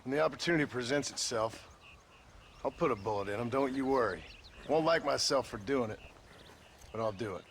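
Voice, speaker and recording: A man playing a gruff, stubborn ex-outlaw cowboy, blunt and terse, in a video game cutscene. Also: medium pitch, lively intonation, brisk, pauses often, a little hiss or hum, natural voice. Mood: grimly resolved.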